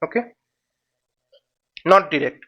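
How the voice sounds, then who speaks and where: A high-voiced man explains things slowly, like an instructor at a whiteboard. He works step by step, putting questions and then answering them. The recording is slightly dull and lo-fi. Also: animated, a bit bright. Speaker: flight simulation instructor. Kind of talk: informal whiteboard tutorial.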